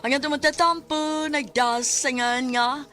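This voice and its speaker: A woman's voice: high voice, fairly even intonation, measured pace, bright, forceful tone. A woman with a Filipino accent giving scripted dramatic narration for a radio drama. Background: music.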